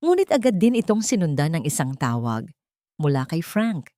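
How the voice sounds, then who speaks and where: A woman's voice: sits low, sing-song, moderate pace, warm tone, dry recording, clean studio sound. A woman reading a dramatic romance story aloud as narrator. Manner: dramatic storytelling, reads all parts herself.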